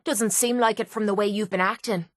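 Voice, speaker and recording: A woman's voice: mid-range voice, steady tone, a little fast, dry recording, clean studio sound, lightly acted. A woman playing a brash, foul-mouthed young female cage fighter in a video game, with an Irish accent and a blunt, cocky way of talking. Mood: hostile, fed up. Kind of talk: video game companion voice lines.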